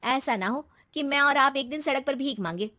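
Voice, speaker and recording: A woman's voice: high voice, normal speed, bright, forceful tone, dry recording, clean studio sound. A woman voicing a greedy, scheming young daughter in a moral story, with an insistent, coaxing manner. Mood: urgent, manipulative. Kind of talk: acted moral-story dialogue.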